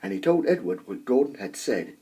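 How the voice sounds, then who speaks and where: A man narrating a children's story aloud in an expressive storytelling style. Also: fairly low pitch, a little fast, breathy edge. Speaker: amateur story reader.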